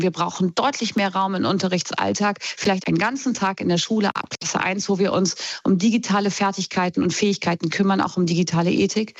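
A middle-aged woman speaking emphatically and deliberately as an expert in a radio interview. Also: medium pitch, no room sound, highs cut off. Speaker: education expert being interviewed.

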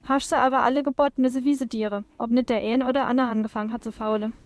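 A woman's voice: on the high side, fairly even intonation, quick pace, dry recording. A synthetic female text-to-speech voice reading aloud in a Saarland German dialect.

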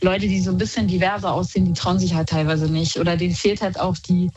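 A woman guest on a radio show, a tattoo artist, talking frankly and lively in a casual chat. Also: on the low side, fast talker, dull audio.

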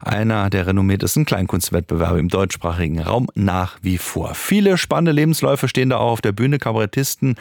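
A male radio presenter introduces a culture segment in a smooth, relaxed announcer manner. His low voice has a gravelly, creaky edge, and the studio sound is dry and close. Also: fairly quick, few pauses, no room sound.

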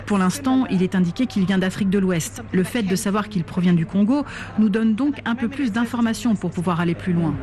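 Woman, voice-over translator, read interview translation, mid-range pitch. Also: fairly quick, mellow.

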